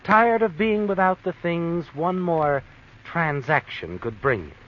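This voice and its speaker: An actor playing an eerie all-knowing American narrator of a vintage radio mystery, speaking in an ominous, taunting way. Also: male voice, a little high, expressive, noisy background. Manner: knowing, taunting, dramatic swoops and pauses. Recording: dull vintage radio recording with music.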